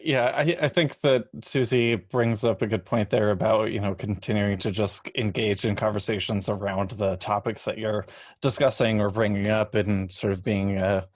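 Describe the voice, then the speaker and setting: Man, fairly low voice, dry sound, casual panelist. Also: steady tone, moderate pace, no echo.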